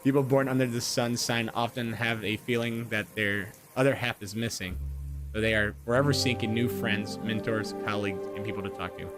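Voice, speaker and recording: A man's voice: lowish voice, steady tone, moderate pace. A man in his twenties reading aloud and chatting casually, rambling with fillers and repeated words, poking fun at himself. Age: 20s. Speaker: gaming streamer. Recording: music playing underneath. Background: music.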